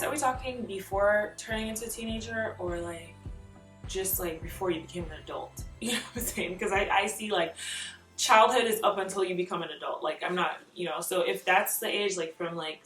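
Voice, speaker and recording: A young woman vlogging, chatting through tag questions in an animated way, laughing often and filling her talk with "like.". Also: fairly low pitch. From Nigeria. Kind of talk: casual chat answering tag questions. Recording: roomy echo, mic a bit far off, faint music behind.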